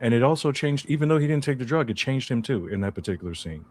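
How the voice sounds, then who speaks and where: A man co-hosting a podcast, chatting casually about a TV show with slangy, laid-back banter and drawn-out storytelling. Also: mid-range voice, animated. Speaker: podcast co-host. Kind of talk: casual chat.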